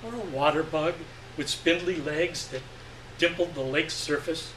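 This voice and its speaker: A man giving an expressive live reading of literary fiction, with deliberate phrasing and dramatic pauses. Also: a little high. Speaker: story reader. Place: live reading event indoors. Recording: echoey room, distant mic, faint hum.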